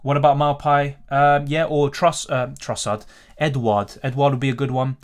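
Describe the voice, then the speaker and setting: A man's voice: mid-range voice, flat delivery, normal speed. A man from Argentina hosting a fantasy football livestream, casually reading out and answering viewer questions in a conversational, off-the-cuff way as he thinks aloud.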